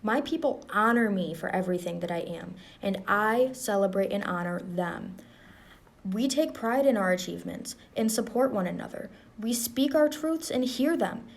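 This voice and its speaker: A young woman with a mid-range voice reads an uplifting passage aloud for students. Her delivery is calm and encouraging, and she turns quick and chatty when she explains the activity. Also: medium pitch, gravelly. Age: young adult. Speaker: student reader. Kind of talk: reading a book aloud, then leading a class activity.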